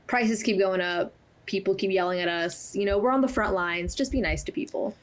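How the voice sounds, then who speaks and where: A woman calling in to a podcast, a coffee shop worker, speaking earnestly and softly. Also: medium pitch, a little fast. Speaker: caller and Starbucks worker. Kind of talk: casual call-in podcast chat.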